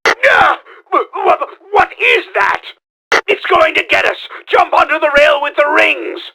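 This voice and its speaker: A man playing a pompous, scheming middle-aged mad-scientist villain in a video game, with a gravelly, forceful, theatrical delivery. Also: extremely high pitch, sing-song, measured pace, heavily acted, rough recording. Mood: panicked. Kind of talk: exaggerated video game voice acting.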